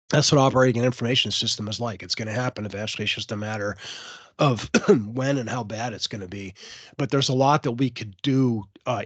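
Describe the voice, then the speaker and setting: A man presenting a cybersecurity webinar, a little hoarse from a cold, explaining with frequent fillers and restarts. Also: on the low side, fairly bright tone, no room sound.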